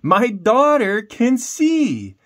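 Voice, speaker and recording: A man's voice: very high voice, wildly swooping pitch, drawn-out words, fairly bright tone, voice pushed up, full character voice. A man voicing a skeptical Roman chief prison guard from a storybook, with a blunt, emphatic way of talking. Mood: overjoyed shouting.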